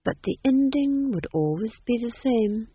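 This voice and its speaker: A woman's voice: fairly high pitch, animated, measured pace, breathy edge, no echo, narrow, tinny audio, pitched above the performer's own voice. A woman voicing an unhinged male duke in an audiobook, pompous and falsely cheerful, with a wheedling manner that swings in mood. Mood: silky threatening.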